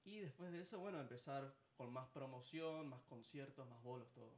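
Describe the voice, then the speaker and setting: A man's voice: medium pitch, moderate pace, reverberant. A man from Argentina, a band musician, talking casually and easily in a radio interview. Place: radio studio.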